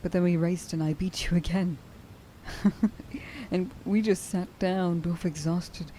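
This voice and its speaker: A woman playing a tender, emotional young huntress in an ASMR roleplay, speaking softly and breathily and often dropping toward a whisper. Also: lowish voice, steady tone, a little slow, slight background noise, natural voice, rough recording. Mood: amazed, joyful. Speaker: voice actor.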